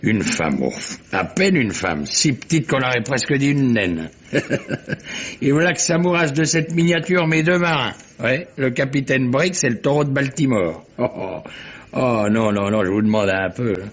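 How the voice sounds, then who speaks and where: An actor playing a jovial, gossipy old sea captain with a husky voice, rambling colloquially in a French radio mystery. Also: male voice, medium pitch, expressive, moderate pace, lightly acted. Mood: amused. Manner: colloquial, rambling storytelling punctuated by chuckles. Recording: muffled vintage radio recording.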